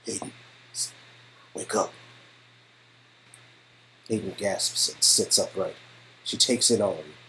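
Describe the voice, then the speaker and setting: A man's voice: low voice, fairly bright tone, slight background noise, poor audio. A man reading interactive game narration aloud in a scripted, second-person storytelling style.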